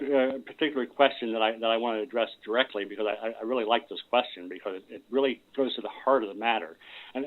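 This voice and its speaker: A man explaining business matters off the cuff on a conference call, often repeating words as he starts a sentence. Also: medium pitch. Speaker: company presenter on a conference call. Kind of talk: unscripted explanatory business talk. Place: conference call over a phone line. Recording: tinny phone-line conference call audio.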